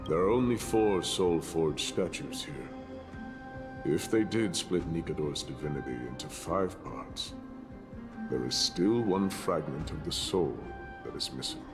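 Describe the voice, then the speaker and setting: An actor playing a grave, stoic warrior in a video game, speaking in a measured, solemn and formal way. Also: male voice, very deep voice. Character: grave, stoic warrior ally, weary of a doomed world. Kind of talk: dramatic video game voice acting. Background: game music.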